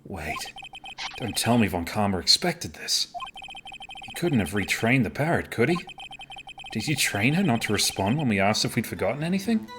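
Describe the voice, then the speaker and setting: A man's voice: lowish voice, big pitch swings, normal speed. Man playing an earnest, insistent young defense lawyer. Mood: anxious. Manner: emphatic courtroom argument. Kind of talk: amateur video game dub.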